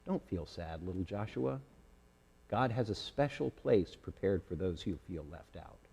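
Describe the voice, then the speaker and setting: A man voicing a gentle female animal in a children's story, speaking softly and consolingly. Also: low voice, animated, measured pace, vocal fry, slightly rough, pitched below the performer's own voice, heavily acted. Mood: tender, consoling. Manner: soft, soothing, consoling. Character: gentle, comforting big female animal friend Abigail. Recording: echoey hall with long reverb.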